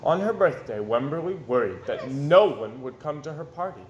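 A man in his thirties reads a children's picture book aloud expressively, with dramatic pauses and emphasis. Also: on the high side, expressive. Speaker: storyteller reading aloud to children. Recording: roomy echo, some background noise.

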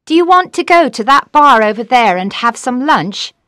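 A woman's voice: on the high side, lively intonation, moderate pace, fairly bright tone, dry recording, clean studio sound. A woman playing a practical young woman, concerned for her friend, in an audiobook story. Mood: concerned, polite. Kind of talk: audiobook character dialogue.

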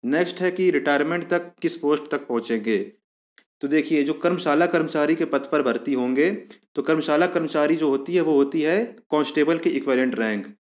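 Male explainer host, slightly high voice, informal step-by-step delivery with repeated points. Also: fairly quick. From India. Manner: repetitive, step-by-step explaining, addressing viewers as friends. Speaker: YouTube channel host explaining a police job.

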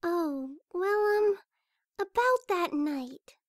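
A woman voicing a shy, gentle young cartoon pegasus pony with a hesitant, stammering delivery. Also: very high-pitched, lively intonation, extremely slow, no room sound, clean studio sound, exaggerated voice. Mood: nervous. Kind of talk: amateur cartoon fan audio drama.

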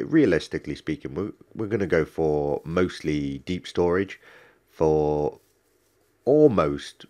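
A man's voice: a bass voice, a little mellow. A man with a cold and a stuffy nose, rambling through casual gameplay commentary with many ums and restarts. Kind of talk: casual let's-play commentary.

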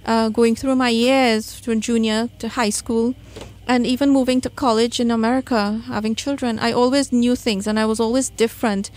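A woman's voice: on the high side, fairly even intonation, normal speed, hardly any pauses, darkish tone. A woman with a Trinidadian accent, telling personal stories as a guest in a radio studio interview. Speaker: radio guest, spiritual medium. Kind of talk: conversational interview, personal storytelling. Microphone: studio microphone.

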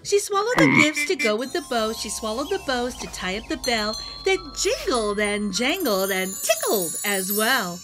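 A middle-aged woman hosting a children's read-aloud, telling a rhyming storybook in an animated, sing-song way over music. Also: on the high side, normal speed, hardly any pauses. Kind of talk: expressive rhyming storybook narration. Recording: music behind the voice.

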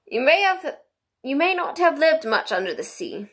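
A woman reading a storybook as a mournful male turtle creature, easily excited, with dramatic delivery. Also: sits high, normal speed, voice pushed up. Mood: wistful.

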